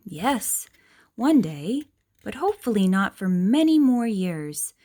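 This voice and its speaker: A woman reads a children's picture book aloud in a gentle, explanatory way, asking questions and adding asides for young listeners. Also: mid-range voice, very animated, slow pace.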